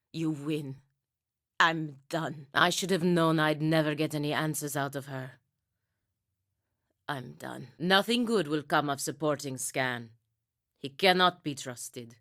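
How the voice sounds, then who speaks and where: A woman's voice: low-pitched, fairly bright tone, no room sound, studio quality. A woman playing a proud, bitter, dutiful warrior knight in a video game, speaking with stern, formal intensity. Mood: weary, defeated. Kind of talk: video game character acting.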